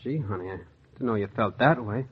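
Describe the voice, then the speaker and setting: An actor playing a mild, practical husband in 1940s radio style, gentle, hesitant and conciliatory. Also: male voice, lowish voice, expressive, fairly quick, husky. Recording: muffled, noisy 1940s radio recording.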